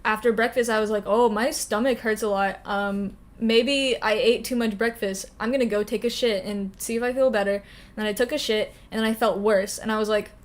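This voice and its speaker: A woman's voice: on the high side, steady tone, a little fast. A young woman telling a story bluntly in casual chat with friends, leaning on "and then I was like". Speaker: podcast co-host.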